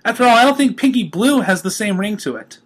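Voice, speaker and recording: A man's voice: sits high, lively intonation, fast, a bit bright, breathy edge, voice pushed up, heavily acted. A man voicing a bubbly, hyperactive young female cartoon pony, gushing and childlike as he reads a fan fiction story. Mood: cheerful.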